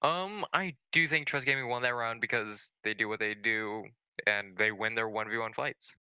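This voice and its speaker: A man giving measured, explanatory analysis as a colour commentator on a live video game match. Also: lowish voice, bright, forceful tone, no room sound. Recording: clearly noisy signal with clicks.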